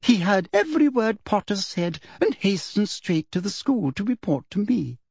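A man's voice: high-pitched, sing-song, moderate pace, creaky, darkish tone, husky, no echo, voice pushed up, full character voice. A man voicing a simpering, falsely sweet woman official with a cruel streak underneath, as an audiobook character. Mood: smug.

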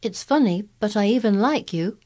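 A woman voicing an anxious, excitable sickly young boy in a storybook reading, eager and pleading. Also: medium pitch, moderate pace, pitched above the performer's own voice, natural voice. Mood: wistful.

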